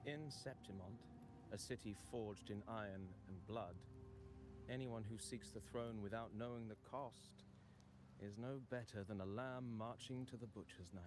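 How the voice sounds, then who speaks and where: An actor playing an eloquent, composed wandering poet in a fantasy game, with ornate, measured, cryptic speech. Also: male voice, lowish voice, steady tone, noisy background, lightly acted. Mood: grave, cryptic. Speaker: traveling poet character. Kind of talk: theatrical fantasy game voice acting. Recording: game music behind voice.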